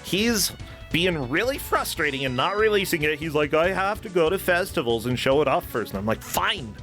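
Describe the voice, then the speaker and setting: A man's voice: on the high side, expressive, normal speed, fairly bright tone. A man hosting a livestream in a casual, chatty, effusive and informal way. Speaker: livestream show host. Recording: music playing behind the voice. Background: background music.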